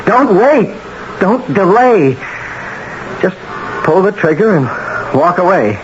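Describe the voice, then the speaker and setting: A male radio drama actor playing a despairing, fearful man, pleading and halting in his speech. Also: sits high, very animated, full character voice. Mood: desperate pleading. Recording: muffled noisy old radio recording.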